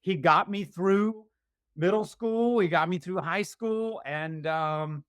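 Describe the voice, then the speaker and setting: A man's voice: high voice, takes it slowly, fairly bright tone, clean tone, dry recording, studio quality. A middle-aged man from Virginia's Shenandoah Valley, chatting as an interview guest in a rambling, self-deprecating, joking way. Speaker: guest author. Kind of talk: casual interview chat.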